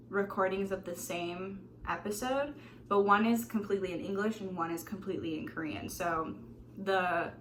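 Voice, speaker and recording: A woman's voice: medium pitch, a little hiss or hum, low-quality recording. A young woman giving casual, self-mocking tips straight to camera in her bedroom. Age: young adult. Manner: chatty, animated, self-mocking, drops asides and laughs. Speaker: vlogger. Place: small bedroom, indoors.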